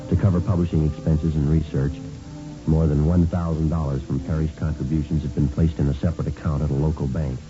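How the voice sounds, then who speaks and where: Low voiced radio detective, quick clipped matter-of-fact talk, dull old recording. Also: male voice, flat delivery, over music. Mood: matter-of-fact narration. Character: male police sergeant detective, matter-of-fact, businesslike. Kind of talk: vintage radio police drama, scripted narration and dialogue. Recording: muffled vintage radio recording.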